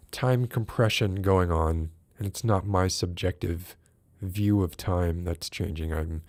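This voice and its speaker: Slow, low-voiced man musing aloud. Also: a little mellow. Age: 40s. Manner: musing, rambling, wry; greets viewers in chat by name. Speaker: livestream host. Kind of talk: casual livestream chat.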